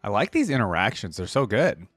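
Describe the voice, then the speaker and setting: Low-voiced man reacting casually. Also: very animated, a little fast, fairly bright tone, no room sound, faint music behind. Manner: slangy, appreciative interjections. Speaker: reaction video host.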